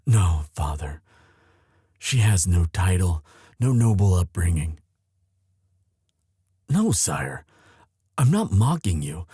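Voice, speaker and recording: A man playing an earnest, lovestruck young prince in an audio drama, speaking formally and haltingly as he implores his father. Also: deep voice, very animated, warm tone, breathy edge, no echo, studio quality, exaggerated voice. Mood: earnest pleading. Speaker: voice actor in romance audio roleplay. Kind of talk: dramatic one-sided audio roleplay.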